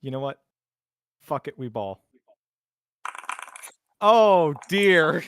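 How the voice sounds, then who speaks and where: A man's voice: a little high, lively intonation, very slow, a bit bright, clean tone, no room sound. A man chatting casually as a player in a tabletop roleplaying game, self-deprecating and a bit theatrical.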